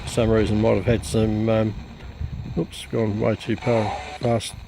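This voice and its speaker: A man giving a halting, matter-of-fact, unscripted demonstration of gear as a hobbyist. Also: on the low side, flat delivery, vocal fry, background noise. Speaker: radio hobbyist presenter.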